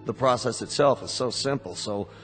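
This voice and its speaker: An actor playing a smooth company salesman in a radio drama, with polished, reassuring patter. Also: medium pitch, normal speed, a bit bright, lightly acted. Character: smooth persuasive salesman of replacement android bodies. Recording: hall reverb with music behind.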